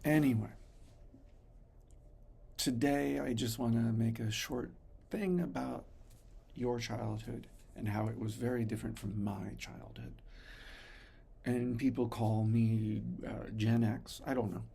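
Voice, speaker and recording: A man in his fifties from the United States, talking slowly and thoughtfully to his son in a reflective personal vlog. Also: mid-range voice, steady tone, vocal fry, husky. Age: 50s. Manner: slow, thoughtful, pausing often, soft-spoken. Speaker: father recording messages for his son.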